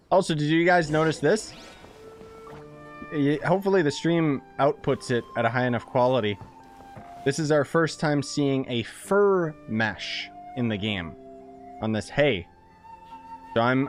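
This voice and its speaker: A young man streams a video game in a mid-pitched voice. He chats casually and uses slang, with a sarcastic, joking edge. Faint music sits behind him. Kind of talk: casual live gameplay commentary.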